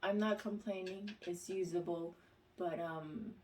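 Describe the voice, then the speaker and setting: A woman talks through a casual question-and-answer vlog in a somewhat low voice. She reads each question aloud and answers slowly, with halting pauses and fillers. Her voice sits a little back from the microphone. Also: steady tone, a little mellow, far from the mic, rough recording. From United States. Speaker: vlogger.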